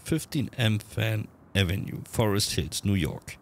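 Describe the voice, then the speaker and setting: A man with a cold, chatting quickly through casual gameplay commentary and reading on-screen text aloud. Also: on the low side, lively intonation, vocal fry, slight background noise. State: has a cold. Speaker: let's-play gamer.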